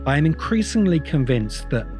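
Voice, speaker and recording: Deliberate man musing over background music. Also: a little high, sing-song, mellow. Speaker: host of a science fiction video essay podcast. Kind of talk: reflective spoken essay, semi-improvised monologue.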